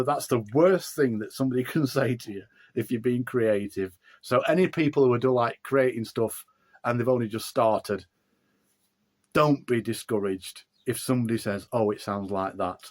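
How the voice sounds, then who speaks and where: A man's voice: mid-range voice, moderate pace. A middle-aged man with a broad Yorkshire accent, an author chatting casually in an interview, rambling with fillers and restarts.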